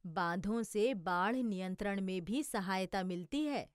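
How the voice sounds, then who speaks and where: A woman voicing a gentle, homesick village mother who explains things patiently. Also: medium pitch, unhurried, clear, resonant tone, no room sound, clean studio sound, natural voice. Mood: wistful. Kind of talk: educational cartoon voice acting.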